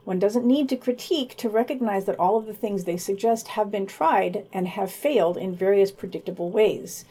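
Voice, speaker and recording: Soft-toned woman podcast host, medium pitch, reading out reviews. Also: moderate pace. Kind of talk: scripted reviews with commentary.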